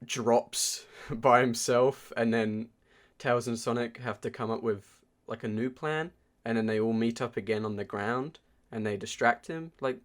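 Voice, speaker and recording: A man's voice: mid-range voice, a little slow. A man co-hosting a podcast, reviewing films in a casual, informal way as he thinks aloud. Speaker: podcast co-host. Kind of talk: casual film review chat.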